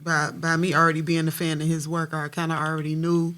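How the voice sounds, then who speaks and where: A man's voice: a little high, completely flat delivery, a little fast, bright, forceful tone, clear, resonant tone. A man, a rapper from Detroit, answering interview questions loosely, with many fillers. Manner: loose, laughing, lots of fillers. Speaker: rapper guest.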